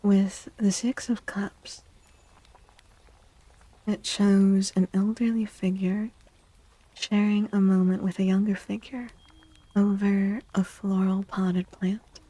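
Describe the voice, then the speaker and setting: A woman reading tarot in a soft-spoken ASMR style, gentle and deliberate, often close to a whisper, with pauses and repeated phrases. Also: medium pitch, little pitch movement, dry recording, rough recording. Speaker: streamer, tarot reader.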